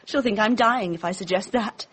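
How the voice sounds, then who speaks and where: A woman's voice: medium pitch, normal speed, a bit bright, slightly rough. An actress playing a flippant, breezy young upper-class Englishwoman with a British accent, wry and offhand, in a radio drama. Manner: wry, offhand, drawling society slang.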